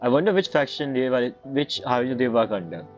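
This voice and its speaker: A man's voice: medium pitch, big pitch swings. Casual male gamer, muffled sound. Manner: rambling, halting, non-idiomatic phrasing. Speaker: gaming streamer. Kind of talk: casual game playthrough commentary. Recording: muffled, game music behind.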